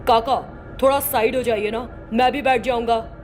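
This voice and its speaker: A woman's voice: fairly high pitch, steady tone, moderate pace, natural voice. A woman voicing an anxious, chatty male office worker in a story, exclamatory and dropping in English phrases. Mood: polite, curious. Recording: loud music behind voice.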